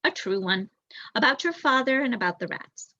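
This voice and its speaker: A woman voicing a kindly, careful mother field mouse in a storybook, with measured, reassuring explanations. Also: on the high side, lively intonation, pushed and bright, no echo, lo-fi sound. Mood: gently solemn.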